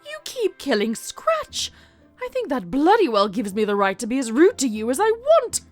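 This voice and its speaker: A woman voices a fed-up mare in an audio drama. Her voice is fairly high and swings widely as she bites out indignant, deliberate words. Music plays behind her. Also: wildly swooping pitch, full character voice. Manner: snappish, biting, indignant. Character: irritated adult mare, snappish exasperated wife. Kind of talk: fan fiction audio drama acting.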